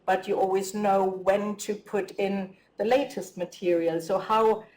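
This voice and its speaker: A woman's voice: medium pitch, fairly even intonation, unhurried, a little mellow. Eager woman moderator, echoey hall. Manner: eager and fluent, long build-up to her questions. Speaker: moderator and academic. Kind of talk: interviewing a guest on stage. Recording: roomy echo.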